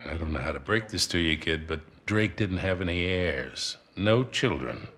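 An actor playing a gruff, wry middle-aged American crook in a naturalistic video game scene, with a folksy, unhurried manner. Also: male voice, deep voice, expressive, fairly bright tone, a little hiss or hum. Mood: curious, bantering.

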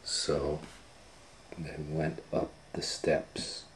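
A middle-aged man hosts a storytime in a low voice. He reads at a slow pace, informally and with casual asides. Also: flat delivery, echoey room, a little hiss or hum, rough recording. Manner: unhurried, informal. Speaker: storytime host and reader. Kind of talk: casual children's story reading. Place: small plain room indoors.

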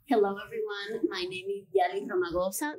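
A woman, speaking clearly and composedly as a guest artist on a panel. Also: mid-range voice, expressive, a little fast, darkish tone, a little hiss or hum. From Cuba. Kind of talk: panel conversation.

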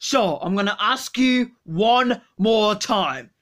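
A whiny, foul-mouthed comic puppet voiced by an amateur performer. The voice is very bright and forceful, at an unhurried pace, and keeps repeating itself in indignant exclamations. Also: medium pitch, animated. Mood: demanding, irritated. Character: hot-tempered, whiny, foul-mouthed puppet donut lover.

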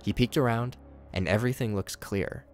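A man's voice: mid-range voice, normal speed, soft background music. A man giving a scripted narration that recaps horror footage in a casual, wry way. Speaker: narrator of a video commentary.

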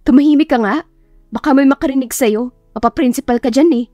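A woman's voice: high-pitched, fairly quick, warm tone, dry recording, dull audio, soft background music, pitched above the performer's own voice, lightly acted. A woman voicing a blunt, easily annoyed young female teacher in an audiobook romance. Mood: defensive, scolding. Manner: blunt, defensive, scolding.

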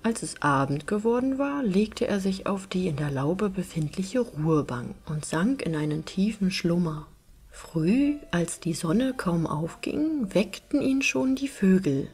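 A woman reading a fairy tale as a relaxing audiobook, in a gentle, measured storytelling manner. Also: mid-range voice, expressive, husky. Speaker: narrator, audiobook reader. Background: music behind the voice.

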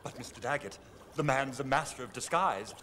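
An actor playing a crime boss's deferential henchman in an animated series. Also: mid-range voice, brisk. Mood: cautioning. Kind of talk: dramatic animated series acting. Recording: long hall reverb, faint noise.

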